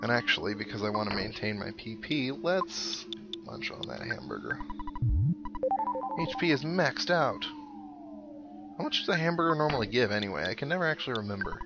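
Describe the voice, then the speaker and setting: A man's voice: medium pitch, very animated, normal speed, pauses often. Chatty man doing game commentary over music. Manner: chatty, thinking aloud to viewers. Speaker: gaming video host. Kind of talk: casual let's play commentary. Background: video game music.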